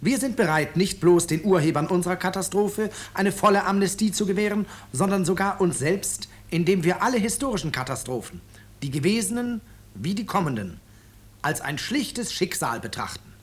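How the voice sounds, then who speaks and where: An actor playing an urbane, ironic author who narrates his own story in a 1950s German radio drama, courteous and deliberate. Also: sits high, animated, husky. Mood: suave, ironic.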